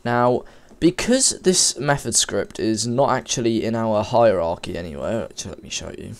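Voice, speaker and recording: A man's voice: lowish voice, lively intonation, normal speed. A man with a cold and a slightly sniffly voice, talking casually through a coding tutorial at his computer and often correcting himself. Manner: informal, rambling, self-correcting while typing. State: has a cold, a bit sniffly. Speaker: game development tutor. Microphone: desk microphone.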